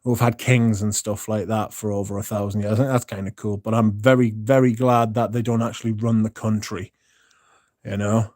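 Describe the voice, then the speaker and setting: A man's voice: lowish voice, level tone, moderate pace, a little mellow. A man talks into his phone on a casual livestream, sounding tired. He rambles, swears freely and often starts sentences over. The recording is dry and close, with no room echo. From Britain. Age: 30s. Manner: rambling, sweary, frequent restarts. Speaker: streamer.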